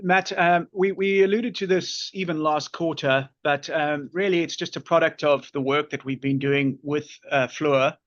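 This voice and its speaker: A male finance executive gives answers on an earnings call with very flat intonation and a halting, word-repeating delivery. The audio is dry and a bit dull. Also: on the high side, no room sound. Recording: dull, band-limited conference call audio.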